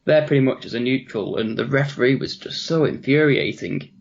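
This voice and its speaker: A slightly hoarse man co-hosting a football podcast, chatty and reflective and often restarting his sentences. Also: mid-range voice, fairly quick, muffled recording. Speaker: podcast co-host. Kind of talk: casual football podcast chat.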